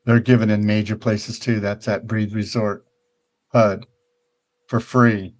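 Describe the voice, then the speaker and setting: A man's voice: on the low side, level tone, slow, a little mellow. Older man rambling as a casual show host. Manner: rambling, conversational, often checks in with "right?". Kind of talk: casual virtual-world tour and chat.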